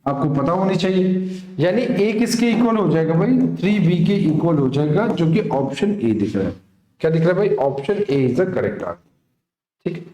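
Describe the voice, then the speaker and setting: High-pitched Indian man teaching, very echoey. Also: lively intonation, moderate pace, dark tone. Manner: instructive, checks understanding with frequent short questions. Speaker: math teacher. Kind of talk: live exam-prep lesson, working through problems. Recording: very echoey room.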